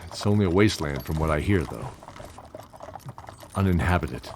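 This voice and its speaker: A man's voice: a bass voice, animated, normal speed, a little mellow, slight background noise. A man playing a bearded old knight, fatherly and wistful, telling his tale hesitantly in an audio drama. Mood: wistful, sombre. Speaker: narrator and knight character.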